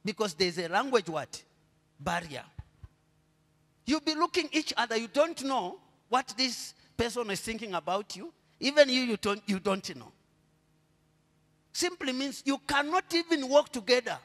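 A middle-aged Zambian pastor preaches in a high, lively voice, asking the congregation to fill in his words. He drops to low murmurs, then rises to loud, stretched-out proclamations. His handheld mic carries a long reverb from the church hall. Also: normal speed. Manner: preaching with call-and-response questions to the congregation. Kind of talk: sermon. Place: church stage, indoors.

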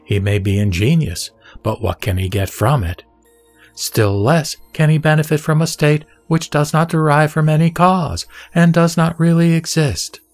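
A man gives a scripted, dramatic reading of scripture in a measured, solemn, instructive manner. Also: medium pitch. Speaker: narrator and reader of scripture. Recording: background music behind the voice.

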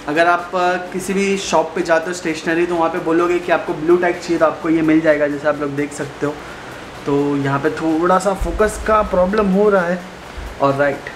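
A young man chatting casually through a step-by-step how-to for his vlog, recorded indoors at a desk on a camera mic. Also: sits high. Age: young adult. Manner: chatty, explains step by step to viewers. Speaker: motovlogger. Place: small room indoors at a desk. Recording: roomy with clear background noise.

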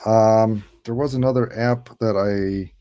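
A man in his thirties hosts a casual online chat from a room at home, talking through a headset. His voice sits a little low, and he keeps the talk loose and jokey, laughing often. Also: fairly even intonation, a little slow, warm tone, clean tone. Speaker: livestream host. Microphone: headset on a video call.

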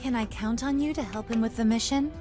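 Woman acting a gentle, high-voiced cartoon mom. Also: fast, lightly acted. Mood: gentle, coaxing. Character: caring mother on a space expedition. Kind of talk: animated film dialogue. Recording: music behind the voice.

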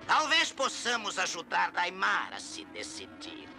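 A man's voice: huge pitch swings, a little slow, over loud music, full character voice. A man playing an arrogant, short-tempered skull-faced sorcerer in a dubbed cartoon, imperious and theatrical. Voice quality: shrill, creaky. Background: dramatic cartoon score.

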